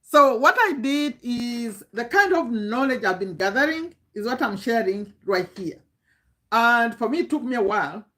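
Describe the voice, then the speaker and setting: Female, 50s, Ugandan accent, slightly high pitch; informal online coach, emphatic and repetitive, posing rhetorical questions. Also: expressive, moderate pace, sharp tone. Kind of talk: informal motivational talk to camera.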